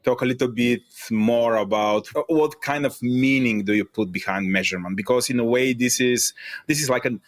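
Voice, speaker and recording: A man's voice: medium pitch, normal speed. A man hosting a podcast, asking long, friendly questions with some fillers. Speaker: podcast host. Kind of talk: interview questions.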